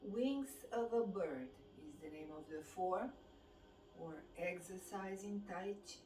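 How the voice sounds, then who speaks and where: A woman with a Romanian accent leads a guided exercise class as the instructor, giving measured movement and breathing cues. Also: mid-range voice, mellow. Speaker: fitness and qigong instructor. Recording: distant mic, echoey room, background noise.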